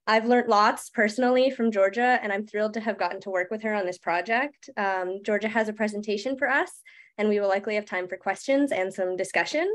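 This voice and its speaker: Woman in her twenties, upbeat webinar host reading from notes on a video call, mid-pitched voice. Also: a little fast. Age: 20s. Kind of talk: scripted introduction. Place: home room.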